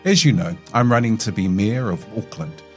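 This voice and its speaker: A man's voice: lowish voice, animated, normal speed, faint music behind. A man hosting a casual podcast interview, teasing his guest in a friendly way and often restarting his questions. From New Zealand. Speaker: podcast host.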